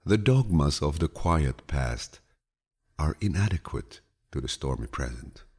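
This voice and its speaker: Male audiobook narrator, extremely low and husky, soothing grandfatherly delivery, measured but with expressive swings in pitch. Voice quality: deep, low, gravelly, husky voice. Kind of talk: calm, measured audiobook reading of quotations.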